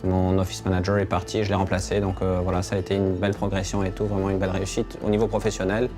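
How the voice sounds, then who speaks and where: A man, telling his immigration story in a relaxed testimonial interview, with hesitant fillers. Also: low voice, fast talker, music in the background. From France. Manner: relaxed, hesitant with fillers, animated when quoting.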